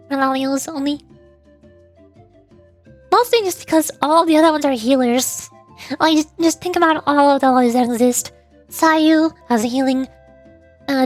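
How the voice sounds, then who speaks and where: A woman streaming a video game and chatting casually through gameplay commentary. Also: sits high. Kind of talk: casual gaming livestream commentary.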